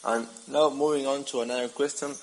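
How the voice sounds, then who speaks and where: A man interviewing a guest, halting as he speaks, repeating words and saying "eh" between phrases. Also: medium pitch, fairly even intonation, poor audio. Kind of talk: interview questions.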